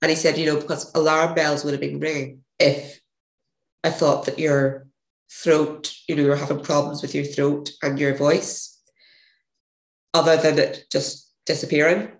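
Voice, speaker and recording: A woman with a gravelly, hoarse voice, a podcast guest telling a rambling story and correcting herself as she goes. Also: deep voice, flat delivery, measured pace. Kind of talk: casual interview storytelling. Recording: echoey room.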